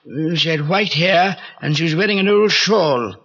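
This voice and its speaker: An actor playing a timid, proper gentleman butler with fussy formal manners in a 1940s radio drama. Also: a little high, normal speed, slightly rough. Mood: shaken, deferential. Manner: prim, formal, flustered. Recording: muffled 1949 radio recording.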